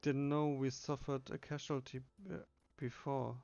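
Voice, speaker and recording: Male gamer reading text aloud casually, unhurried creaky delivery, slightly muffled home recording. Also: mid-range voice, little pitch movement, a little mellow. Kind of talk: casual game playthrough with read-aloud dialogue.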